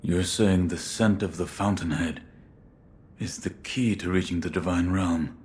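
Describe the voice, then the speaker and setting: A loyal shinobi from a game cutscene. His voice is extremely low and gruff, his manner terse and solemn. A faint rumbling noise sits behind him. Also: fairly even intonation, unhurried, a little mellow. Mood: questioning. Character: stoic adult male shinobi bodyguard, loyal and terse. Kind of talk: video game cutscene acting.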